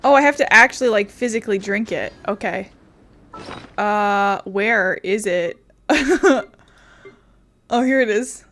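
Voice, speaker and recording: A woman's voice: mid-range voice, animated, slow pace, long pauses, a bit bright, a little hiss or hum. A woman streaming a video game with casual, reactive, informal commentary and free swearing.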